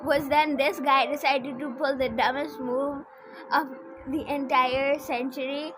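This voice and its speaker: A woman's voice: sits high, steady tone, unhurried, background noise. A woman chatting casually on a game livestream in a blunt, opinionated, slangy way. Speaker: streamer playing a game. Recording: music playing behind the voice. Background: game music.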